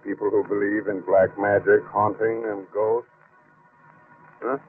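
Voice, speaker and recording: An actor playing an eerie, mock-cordial horror host in theatrical 1940s radio narration, on a muffled old recording. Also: male voice, fairly low pitch, flat delivery, measured pace, soft background music, natural voice. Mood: mock-cordial, sinister. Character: eerie genial horror host welcoming listeners.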